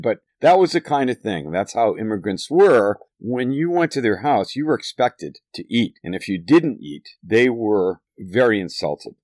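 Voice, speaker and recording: A man with a lowish voice recounts family memories with warmth in his words and an easy, lively delivery. He chuckles here and there as he goes. The sound is dry and close, with no room around it. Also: no echo. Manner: fond, lively, with occasional chuckles. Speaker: storyteller. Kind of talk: nostalgic personal narration.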